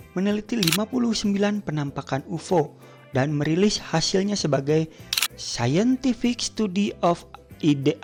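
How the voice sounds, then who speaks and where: A man's voice: fairly high pitch, darkish tone. A man hosting an informal comic explainer in a chatty, informative way. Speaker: host of a cartoon explainer show.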